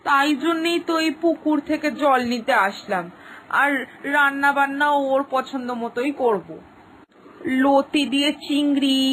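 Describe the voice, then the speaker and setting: A woman's voice: high-pitched, a bit bright, a little hiss or hum, poor audio. A woman playing a devoted, anxious village mother in a cartoon, earnest and plaintive. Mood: fond, eager. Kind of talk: cartoon story acting.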